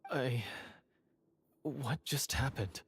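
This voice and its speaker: Bitter, haunted young hunter in a fantasy game; theatrical, halting, medium pitch. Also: male voice, vocal fry, a little hiss or hum. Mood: dazed. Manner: hesitant, theatrical, emotional. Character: troubled, bitter young male hunter, dazed and earnest. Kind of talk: dramatic video game voice acting. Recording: game music under the dialogue.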